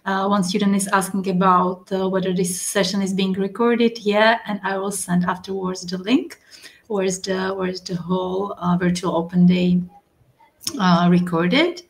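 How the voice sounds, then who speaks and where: A woman's voice: mid-range voice, little pitch movement, unhurried, vocal fry. A woman moderating an online open day, thanking the speaker and reading out questions with hesitant restarts. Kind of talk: moderating questions on a video call.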